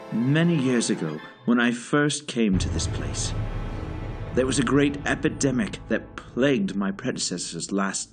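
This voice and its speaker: An actor playing a stern, weary older male director in an audio drama, speaking formally and with grave authority. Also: medium pitch.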